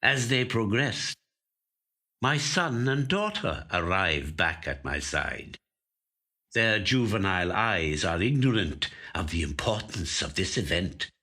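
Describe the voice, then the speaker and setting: An actor voicing an aging, watchful, covetous magpie in measured, deliberate first-person literary storytelling. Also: male voice, low-pitched, animated, bright, forceful tone, heavily acted. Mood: weary, resigned. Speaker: actor reading a short story. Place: recording studio.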